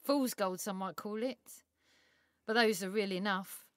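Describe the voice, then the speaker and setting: A woman's voice: mid-range voice, a little slow, no echo, natural voice. Woman playing a wry, shrewd shop assistant, dry close sound. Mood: wry, knowing. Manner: colloquial, wry, blunt. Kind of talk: dramatised audio short story acting.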